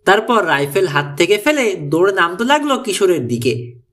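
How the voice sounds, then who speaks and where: A man with a fairly high voice narrates an audiobook thriller. His delivery is highly animated and suspenseful, with pitch that moves around a lot as the scripted story unfolds. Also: moderate pace.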